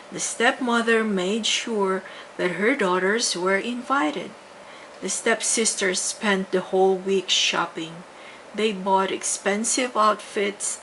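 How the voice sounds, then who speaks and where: An older woman narrating a children's bedtime story with deliberate, dramatic emphasis. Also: mid-range voice, fairly bright tone, poor audio. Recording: faint background noise.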